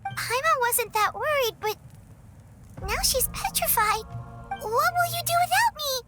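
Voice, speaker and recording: A woman voicing a tiny, childlike, excitable and plaintive fairy companion in a video game, with music behind her. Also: very high voice, unhurried, exaggerated voice. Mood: worried, plaintive. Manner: refers to self in third person, exclamatory. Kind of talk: animated video game voice acting.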